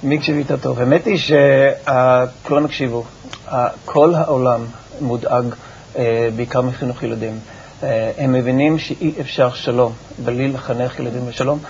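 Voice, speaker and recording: A man giving explanatory answers as an expert guest in a television interview, with a halting, repetitive delivery and a creaky voice. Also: medium pitch, fairly even intonation, darkish tone. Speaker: research institute director, interview guest. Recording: muffled, noisy TV broadcast recording.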